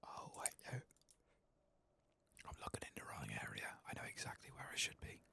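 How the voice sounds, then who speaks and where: A man giving soft-spoken, often whispered ASMR game commentary in a gravelly, musing mutter. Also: brisk. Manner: musing, muttering understated reactions. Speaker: ASMR streamer playing a video game.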